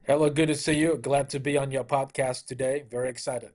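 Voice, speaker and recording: A man's voice: medium pitch, steady tone, a little fast, a little mellow. A middle-aged man from Malaysia, a car dealership owner, telling stories in an upbeat, conversational interview style with frequent "you know" fillers.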